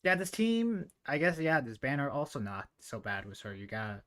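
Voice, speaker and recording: A man's voice: medium pitch, very animated, moderate pace, fairly bright tone. Rambling male game streamer thinking aloud. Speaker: live streamer. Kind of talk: casual gameplay stream commentary.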